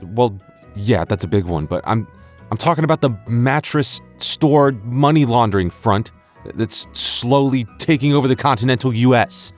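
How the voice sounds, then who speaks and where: A man playing an obsessive, sleepless conspiracy investigator in a comic outro skit as channel host, halting and excitable. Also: mid-range voice, soft background music, voice pushed up, natural voice. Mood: mock-urgent. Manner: excitable, halting, mock-urgent. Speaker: roleplay audio channel host. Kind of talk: comedic outro skit to listeners.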